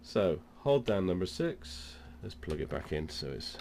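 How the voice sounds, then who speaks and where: A man with a very deep voice and a cold gives an informal how-to demonstration. He explains each step as he goes, and there is clear noise in the recording. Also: big pitch swings, measured pace, darkish tone. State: has a cold. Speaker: presenter of product demonstration. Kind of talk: informal instructional demo.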